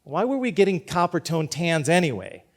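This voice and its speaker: A middle-aged man from Cuba, a teacher, tells a personal story in an earnest, measured way. His voice sits a little above the usual male pitch. He restarts and repeats words as he goes. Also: big pitch swings. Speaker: teacher giving a talk. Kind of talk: prepared personal talk.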